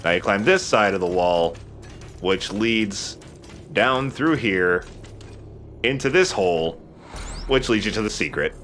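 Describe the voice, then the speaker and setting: A man's voice: fairly low pitch, animated, unhurried, long pauses, a bit bright, a little hiss or hum. A man hosting a let's play, explaining the game casually with playful, jokey slang. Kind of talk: casual gameplay commentary and walkthrough.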